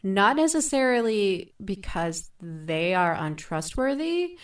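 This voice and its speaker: Slow-talking woman, slightly distant mic. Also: medium pitch, very animated. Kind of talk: thoughtful podcast discussion.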